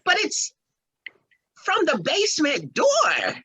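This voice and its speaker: A woman plays a naive, stubborn young husband from an old-time radio horror drama. The voice is high and swoops dramatically, yet the pace is slow and halting. He is folksy and excitable, devoted to his mama. The sound is close and dry. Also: no echo, heavily acted. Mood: stubborn, uneasy.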